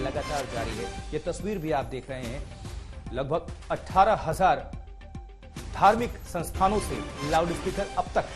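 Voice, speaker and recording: A man presents television news as a studio anchor. His voice sits high for a man, and prominent music plays underneath as he introduces reports.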